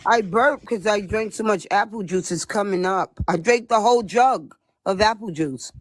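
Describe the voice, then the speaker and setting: A hoarse woman streamer chatting casually, giggly and playfully teasing her friend. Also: mid-range voice, normal speed, no echo, faint music behind. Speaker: livestreamer. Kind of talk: casual livestream chat.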